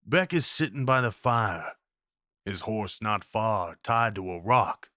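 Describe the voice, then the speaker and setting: Male narrator for scripted second-person audio fiction: measured pace, bright tone, close and dry. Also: lowish voice, expressive, dry recording. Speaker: audio roleplay performer and narrator.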